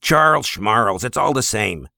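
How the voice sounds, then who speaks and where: A man's voice: on the low side, expressive, unhurried, gravelly, sharp tone, no room sound, heavily acted. A man voicing a smug, pushy younger relative who gloats and brushes things off. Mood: dismissive. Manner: gloating, dismissive. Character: smug pushy male cousin in the family bakery.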